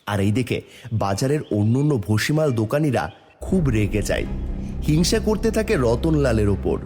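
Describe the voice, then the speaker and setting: A man narrating an animated moral fable as a scripted storyteller. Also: mid-range voice, normal speed, music in the background.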